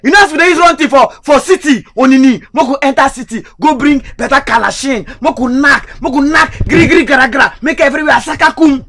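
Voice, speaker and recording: An actor playing a doubtful, easily panicked young Nigerian sidekick with a Nigerian Pidgin accent and an excitable, pleading manner. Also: male voice, fast talker, hardly any pauses, full character voice. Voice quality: very high bright voice, pleading, excitable. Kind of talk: comedy skit acting.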